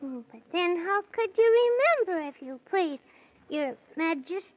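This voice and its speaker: A woman's voice: very high-pitched, lively intonation, moderate pace. A woman playing a polite, earnest little boy in a 1930s children's radio serial, meek and full of questions. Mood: eager, polite. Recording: muffled 1930s radio recording.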